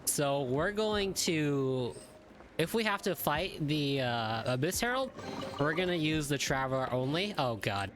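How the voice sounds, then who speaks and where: A man's voice: fairly high pitch, expressive, a little slow, music in the background. A man giving casual reaction commentary while playing a video game.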